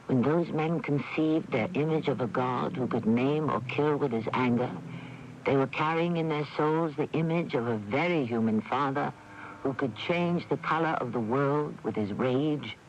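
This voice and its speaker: A man giving a dramatic scripted introduction as a mystery radio host, theatrical and deliberate in his phrasing. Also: mid-range voice. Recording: dull, noisy AM radio recording.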